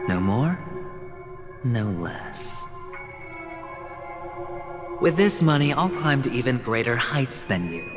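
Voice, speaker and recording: An actor playing a self-assured, theatrical gambler and schemer in a video game, taunting and deliberate in his delivery. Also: medium pitch. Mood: bold, daring. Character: cocky, theatrical male gambler and schemer on trial. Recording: reverberant, with loud music behind.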